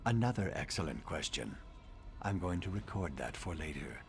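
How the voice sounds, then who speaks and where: An actor playing a scholarly reptilian assassin in a video game, speaking in a measured, formal, dryly sardonic way. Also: male voice, low voice, sing-song, creaky, soft background music, exaggerated voice. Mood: pensive. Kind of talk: video game dialogue acting.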